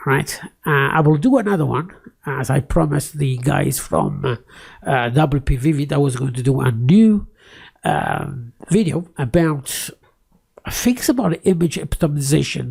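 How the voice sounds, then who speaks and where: A man's voice: fairly high pitch, gravelly, darkish tone, husky. A man who is not a native English speaker, rambling and ranting in a casual tech screen-recording commentary, close to the microphone, with many fillers and restarts. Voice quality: close-miked. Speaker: tech YouTuber.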